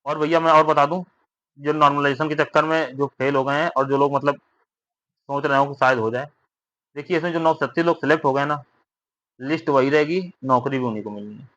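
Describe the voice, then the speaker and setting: Bright, forceful man, lo-fi sound. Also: a little high, steady tone, a little fast, dry recording. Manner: repetitive, with frequent tag questions seeking agreement. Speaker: exam update vlogger. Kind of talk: informal explainer addressed to exam candidates.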